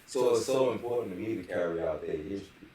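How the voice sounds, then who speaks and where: A man's voice: deep voice, expressive, fast, dark tone, distant mic. A middle-aged man giving a casual, slangy interview, speaking emphatically and often checking for agreement. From San Antonio, Texas. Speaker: interview guest, rapper. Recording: very echoey room, off-mic, faint noise.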